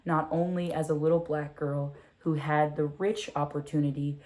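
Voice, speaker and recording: A woman's voice: low-pitched, steady tone, moderate pace, darkish tone. A woman from Louisiana with an American accent, presenting an earnest, articulate reflection on a children's book. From Louisiana, United States. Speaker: read-aloud presenter.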